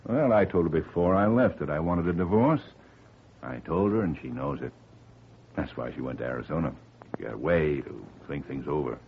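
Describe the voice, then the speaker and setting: A man playing a smooth middle-aged lawyer in a 1950 radio drama, genial and chatty, quietly scheming. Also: rumbling low, normal speed. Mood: tender. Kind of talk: 1940s radio acting. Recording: tinny faint-hiss old radio recording.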